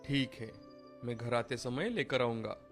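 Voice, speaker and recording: A poor village farmer acted by a man speaks in a medium-pitched voice. He is a worried father in a storybook drama, with faint music underneath. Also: lively intonation, a little slow. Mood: agreeable. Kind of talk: dramatized Hindi story dialogue.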